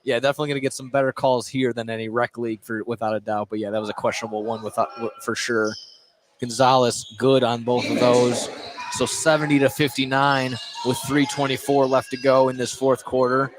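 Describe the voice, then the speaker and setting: A man doing live play-by-play of a high school basketball game on radio, with casual, loose phrasing and repeated words. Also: level tone, fairly quick, crowd noise, low-quality recording. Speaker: play-by-play sports announcer. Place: indoor high school gym during a game. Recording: live gym broadcast, clear background noise.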